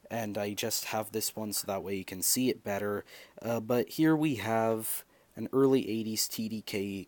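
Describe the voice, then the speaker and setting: Young man, slightly low voice, unhurried pace, hesitant rambling delivery, casual vlog. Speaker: video creator showing collection. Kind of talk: casual vlog talk to camera.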